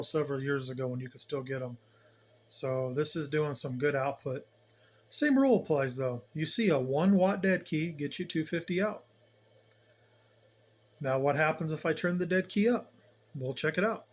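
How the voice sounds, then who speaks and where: Male hobby demonstrator, a little higher than average in pitch, informal, colloquial, emphatic explainer. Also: normal speed, long pauses. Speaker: CB radio hobbyist host giving a demonstration. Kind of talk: casual hands-on demonstration, explaining as he goes.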